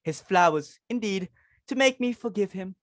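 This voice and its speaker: A woman's voice: medium pitch, expressive, normal speed, no room sound, close to an everyday voice. A woman playing a fussy, social-climbing society hostess and mother, exclaiming, fretting and giving orders in a dramatic reading. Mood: flustered. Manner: exclamatory, fretful, commanding.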